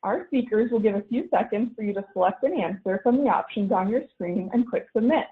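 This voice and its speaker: A woman's voice: medium pitch, brisk. A woman moderating a webinar, reading the welcome and the speaker introductions from a script. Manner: formal, reads from a script. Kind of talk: scripted announcements. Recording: phone-line audio.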